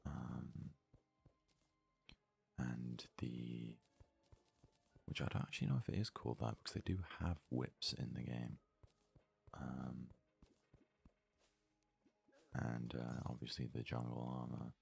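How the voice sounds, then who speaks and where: Very deep, gravelly, hushed ASMR man. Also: steady tone. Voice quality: husky, breathy, hushed voice. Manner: murmured, unhurried thinking aloud. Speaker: let's play host. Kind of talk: ASMR gaming commentary.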